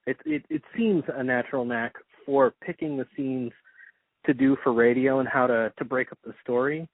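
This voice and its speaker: A man interviewing conversationally, with a tentative, halting and deferential manner. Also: mid-range voice, expressive, no room sound, narrow, tinny audio.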